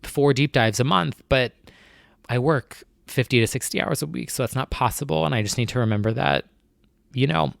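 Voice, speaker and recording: A man's voice: medium pitch, moderate pace, creaky, fairly bright tone, no room sound, clean studio sound. A young man hosting his own podcast, talking in a casual, anxious, rambling way full of fillers and restarts. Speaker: podcast host. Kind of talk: casual solo chat.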